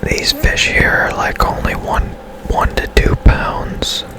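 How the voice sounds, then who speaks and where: Slow whispering man, ASMR game commentary. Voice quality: breathy, unvoiced whisper. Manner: casual, unhurried, halting. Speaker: gaming channel host.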